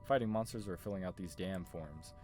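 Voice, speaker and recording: A man's voice: sits low, brisk, a little mellow, soft background music, natural voice. A man reading the lines of a tough, curt, grumbling former detective from a visual novel. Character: gruff tough ex-detective, proud, secretly afraid of dogs.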